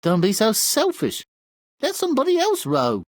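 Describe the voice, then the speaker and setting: Sardonic crone, brusque and scheming, wildly swooping pitch, dry sound, fairy tale game. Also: male voice, huge pitch swings, moderate pace, dry recording, full character voice. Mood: sly, scheming. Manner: mocking, brusque. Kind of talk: theatrical video game cartoon acting.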